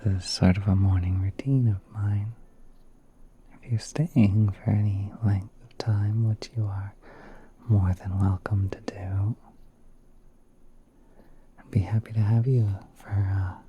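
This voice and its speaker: A man playing a gentle, courteous spider prince in a whispered ASMR roleplay, speaking softly and politely in an unhurried, musing way. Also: on the low side, fairly even intonation, muffled recording, a little hiss or hum. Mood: soothing, hospitable.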